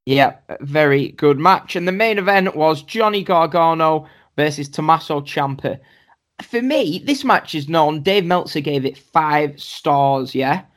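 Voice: mid-range voice, animated, a little slow. A podcast host, chatting casually and running through a list of matches with asides to the co-hosts. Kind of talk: casual wrestling podcast chat.

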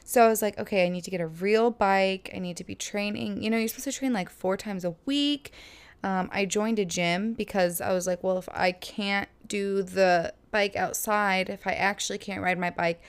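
Chatty young woman, smooth voice. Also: mid-range voice, normal speed. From Florida, USA. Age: 20s. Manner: chatty, animated, lots of "like" and laughs. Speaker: vlogger. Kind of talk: casual storytelling vlog.

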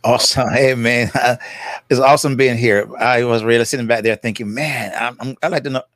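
A man's voice: mid-range voice, steady tone, a little fast, fairly bright tone, dry recording. Older Jamaican man, therapist guest, chatty and easygoing, dry close-mic sound. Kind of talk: casual interview talk.

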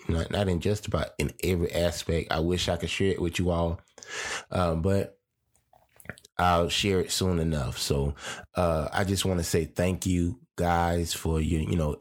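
A man's voice: deep voice, darkish tone. A man hosting his podcast, congested and a little stuffy from his sinuses, talking in a loose, rambling way with lots of fillers and restarts. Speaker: podcast host; preacher. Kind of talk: casual podcast monologue.

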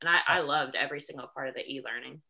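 A woman's voice: a bass voice, very fast, sharp tone, poor audio. A young woman in her twenties, a gym coach, answering interview questions with earnest enthusiasm.

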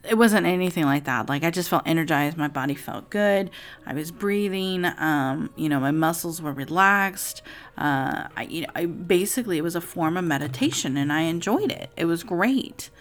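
A raspy-voiced woman in her forties talking casually in a rambling personal vlog at home, with many fillers. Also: fairly low pitch, expressive, normal speed. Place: home with TV music in another room.

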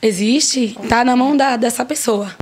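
Woman, 40s, podcast guest, higher voice, playful emphatic storyteller. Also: normal speed, slightly rough. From Brazil. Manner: lively, joking, emphatic storyteller. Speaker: restaurant owner guest. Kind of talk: casual podcast interview.

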